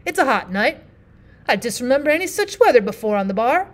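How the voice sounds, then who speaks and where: A woman voicing a rough, earnest middle-aged frontier miner with a rustic Old West drawl, rambling in folksy dialect. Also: fairly high pitch, sing-song, brisk. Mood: earnest pleading. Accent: put-on rustic Old West drawl.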